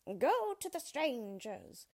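A woman voicing a cruel, commanding wicked witch in a storybook reading, with shrill orders. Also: very animated, slow pace, a little mellow, exaggerated voice.

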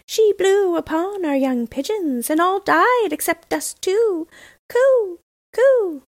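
A woman reading a storybook, voicing a pair of chattering wood pigeons in a high, sing-song coo. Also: lively intonation, slow pace, no room sound, full character voice. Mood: urgent. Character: cooing wood pigeons, small and fluttery.

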